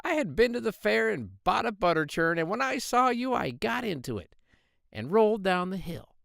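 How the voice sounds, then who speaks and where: A man voicing a small, clever male pig in a storybook reading, speaking high and politely. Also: big pitch swings, normal speed, vocal fry, a bit bright, dry recording, clean studio sound, heavily acted. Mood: cheeky.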